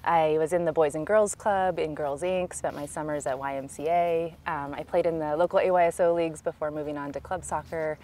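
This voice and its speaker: A woman with a Californian American accent giving measured, explanatory answers in a conversational interview as a local political candidate, recorded outdoors. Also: deep voice, fairly even intonation, dark tone. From California, United States. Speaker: city council candidate, interviewee. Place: outdoors in a park.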